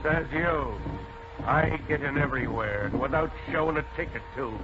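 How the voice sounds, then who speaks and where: An actor playing a brash, impatient police sergeant with gruff, slangy talk in an old radio drama. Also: male voice, a little high, moderate pace, noisy background, close to an everyday voice. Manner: gruff slangy wisecracking, ungrammatical. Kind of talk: 1940s radio acting. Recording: muffled old radio broadcast with music.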